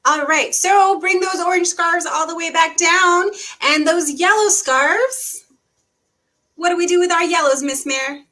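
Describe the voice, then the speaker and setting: A woman's voice: extremely high pitch, measured pace, bright, forceful tone. A woman hosting an interactive read-aloud for young children, speaking in an encouraging way with simple phrasing. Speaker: children's librarian and story time host.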